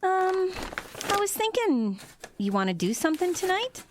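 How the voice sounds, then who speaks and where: An actress in an audio drama playing a long-suffering wife with a pointed way of talking. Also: female voice, very high voice, big pitch swings, moderate pace, background noise. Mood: sweet, casual. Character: exasperated working wife, sweet turning sharply disappointed. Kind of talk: naturalistic audio drama acting.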